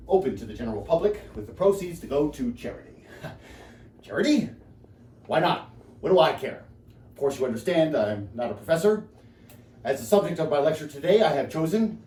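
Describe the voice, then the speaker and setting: A man on stage performs a nervous, downtrodden husband delivering a comic lecture in a fairly high, very animated voice. He digresses, exclaims and sinks into self-pity. The sound is roomy and echoing. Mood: formal, flustered. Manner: digressive, self-pitying, exclaiming then trailing off. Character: nervous, henpecked, rambling husband giving a public lecture. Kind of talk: theatrical comic stage monologue. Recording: roomy, echoing, distant mic with background noise.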